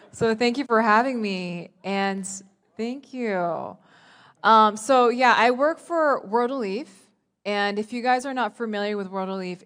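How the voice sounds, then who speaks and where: Young woman guest speaker at church, smooth clear voice, chatty and earnest, lots of ums. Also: medium pitch, fairly bright tone. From United States, Philadelphia area. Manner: earnest, conversational, frequent ums. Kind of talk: church talk with scripture reading. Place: church sanctuary.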